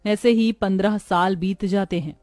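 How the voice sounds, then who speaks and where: A woman's voice: mid-range voice, steady tone, moderate pace, a little mellow, dry recording. A woman narrator reading a scripted moral story in a solemn storytelling manner.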